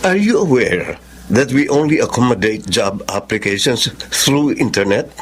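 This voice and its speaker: A man's voice: mid-range voice, lively intonation, unhurried, a little hiss or hum, natural voice. A man playing a formal, approving company boss in a radio drama, with a Filipino accent. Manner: formal, code-switching between English and Filipino.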